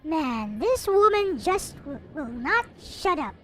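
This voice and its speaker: Female narrator, high and lively, theatrical read-aloud with playful asides, music underneath. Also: slow pace. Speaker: host reading a visual novel aloud. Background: background music.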